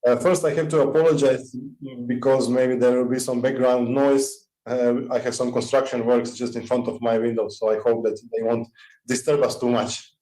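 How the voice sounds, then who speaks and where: Male presenter on a video call, halting, mellow tone, roomy audio. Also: medium pitch, little pitch movement. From Croatia. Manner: halting, many fillers. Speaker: conference presenter. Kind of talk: online presentation. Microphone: computer mic on a video call.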